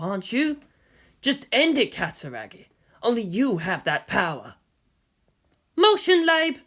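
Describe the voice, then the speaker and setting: A narrator playing a weary male army general, formal and measured, who reasons and pleads firmly. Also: medium pitch, a bit bright, pitched above the performer's own voice, heavily acted. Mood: solemn, pleading. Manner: measured, official, placating.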